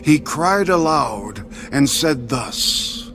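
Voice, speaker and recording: A man's voice: a little high, animated, very slow, full character voice. An actor playing a proud, solemn king in a dramatized scripture reading, speaking with stately deliberation. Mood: awed, solemn. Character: proud king recounting a troubling prophetic dream. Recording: clear music bed behind.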